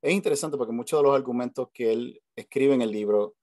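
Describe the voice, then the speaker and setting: A man's voice: mid-range voice, quick pace, a little mellow, no echo, clean studio sound. A man with a Puerto Rican accent, hosting a casual video call chat, talking hesitantly with many fillers and restarts. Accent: Puerto Rican Spanish. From Puerto Rico. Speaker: host. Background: family noise at home.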